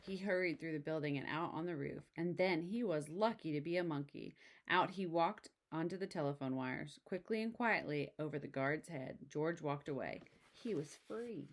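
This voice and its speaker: A woman reading a children's picture book aloud in an expressive way, with casual asides. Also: low-pitched, moderate pace. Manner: expressive, exclaiming story delivery. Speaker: storybook reader.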